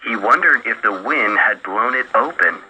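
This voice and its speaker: Male narrator, mid-pitched voice, measured literary storytelling, shortwave radio broadcast, prominent music underneath. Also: expressive, poor audio. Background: loud music.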